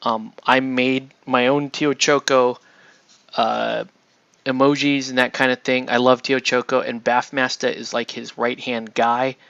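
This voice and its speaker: A man chatting casually on a livestream while he paints miniatures, rambling and excitable, often breaking off mid-sentence. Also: medium pitch, measured pace, vocal fry, smooth, no echo, lo-fi sound. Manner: rambling, excitable, self-interrupting with laughs.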